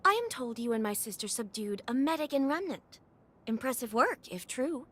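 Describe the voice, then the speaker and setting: A woman's voice: a little high, animated, normal speed, fairly bright tone. A woman playing a haughty, overprotective noble sister in a video game cutscene, speaking in a formal, dramatic manner. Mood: stern, challenging.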